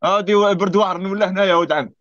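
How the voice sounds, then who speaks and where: A man joining a live stream call, trading quick jokes. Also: high-pitched, fairly even intonation, a bit bright. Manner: very fast, joking banter. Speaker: participant. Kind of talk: casual call-in chat. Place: outdoors in the cold. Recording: dry, dull lo-fi call audio.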